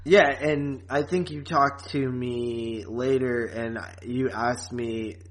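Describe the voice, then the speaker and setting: A man talks as a podcast co-host in an informal review chat. His pitch sits in the middle of the male range. He keeps things loose and conversational, with a teasing, joking streak. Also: fairly even intonation, slow, a bit bright, poor audio.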